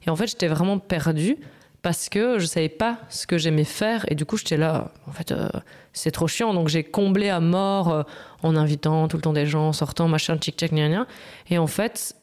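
A woman's voice: sits low, moderate pace, a little mellow. A woman in her thirties talking as a podcast co-host in a casual, slangy and candid personal conversation. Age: 30s. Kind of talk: casual, confessional podcast chat.

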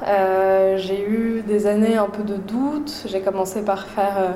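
A woman chef from Paris, talking about her career in a relaxed, halting way with many fillers. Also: medium pitch, echoey room, slight background noise. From Paris, France. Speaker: chef and restaurant owner, guest. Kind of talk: casual interview.

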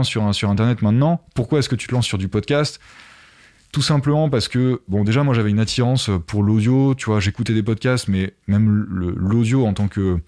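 Male podcaster in interview, lowish voice, casual and conversational, explaining things directly to the listener. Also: a little mellow.

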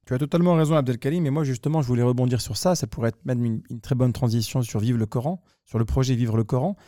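A man's voice: mid-range voice, fast talker, warm tone, dry recording, clean studio sound. A man hosting a podcast discussion, affirming his guest and asking follow-up questions. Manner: affirming, interjects short approvals. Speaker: podcast host.

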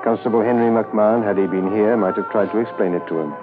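An actor narrating a 1950s radio drama as a sober, reflective Mountie, measured and formal. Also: male voice, fairly low pitch, phone-quality sound, over music, lightly acted. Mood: reflective, regretful.

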